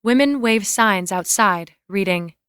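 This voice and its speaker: Female reader for screenplay directions, medium pitch, scripted delivery, dry acoustics. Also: animated, measured pace, fairly bright tone, smooth, no room sound. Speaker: narrator reading screen directions. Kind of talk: scripted narration of stage and camera directions.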